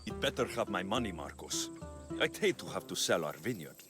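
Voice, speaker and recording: A man's voice: low-pitched, big pitch swings, fairly quick, over music. A man playing a tough young Greek mercenary in a video game, blunt, dry and exasperated. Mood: wry, firm. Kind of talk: cinematic video game dialogue acting.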